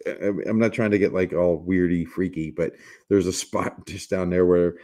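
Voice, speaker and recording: Low, gravelly man with a raspy voice. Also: steady tone, normal speed, mellow. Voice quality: raspy smoker's voice. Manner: joking, giggly, rambling with many restarts. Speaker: livestream host. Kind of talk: casual livestream chat with viewers.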